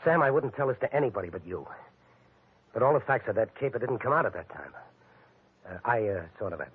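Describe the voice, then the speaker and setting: An American actor playing an uneasy, businesslike private detective in 1940s radio style, clipped and insistent. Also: male voice, on the low side, animated, brisk, slightly rough. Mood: tense, confiding. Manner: clipped, insistent, tough-guy slang. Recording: tinny, narrow old radio broadcast.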